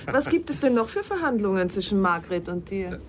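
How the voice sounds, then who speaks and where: Casting: actress for a jealous, proud middle-aged woman in radio drama, swooping intonation, pointed delivery, clearly noisy sound. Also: medium pitch, very animated, a little fast, lightly acted. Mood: defensive, proud. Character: mature woman lover, probing, jealous, sharp-tongued, proud. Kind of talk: German radio drama acting, dialogue.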